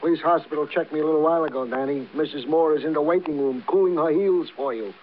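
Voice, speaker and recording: An actor playing an eager, wordy police sergeant with comically formal slang in a 1950s radio drama. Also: male voice, on the high side, quick pace, close to an everyday voice. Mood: eager, reporting. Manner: colorful slangy cop talk with stilted formal words. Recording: narrow, tinny vintage radio recording.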